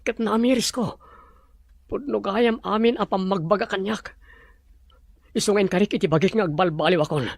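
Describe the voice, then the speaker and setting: A man's voice: high voice, moderate pace, creaky, pauses often, no room sound. A man playing an emotional, troubled older brother in prison in an Ilocano audio drama. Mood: remorseful.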